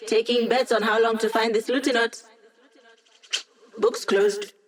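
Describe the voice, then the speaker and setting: A woman's voice: a little high, steady tone, measured pace, close to an everyday voice. A woman voicing a wry, upbeat young hacker who lives as a digital mind, in a casual, teasing video game performance. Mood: wry, focused. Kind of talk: video game character voice lines.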